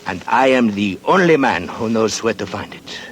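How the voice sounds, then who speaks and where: A man's voice: lowish voice, lively intonation, moderate pace, natural voice. An actor playing a secretive Mexican man who guards a buried gold secret, speaking broken English with a Mexican Spanish accent in a 1940s radio drama. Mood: earnest. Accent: Mexican Spanish (acted). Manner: broken English with Spanish words, wary and conspiratorial.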